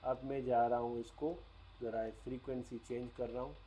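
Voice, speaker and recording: A man's voice: mid-range voice, flat delivery, normal speed, dark tone, slight background noise, rough recording. Man casually demonstrating a gadget. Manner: explains step by step with English technical terms mixed in. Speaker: radio reviewer. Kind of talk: casual hands-on product demonstration.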